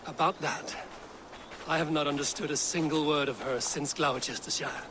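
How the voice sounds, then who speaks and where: Man acting earnest Viking leader amid loud ambient noise. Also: a little high, steady tone, normal speed, lightly acted. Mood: wry. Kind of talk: video game dramatic dialogue. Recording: noisy outdoor ambience behind voice.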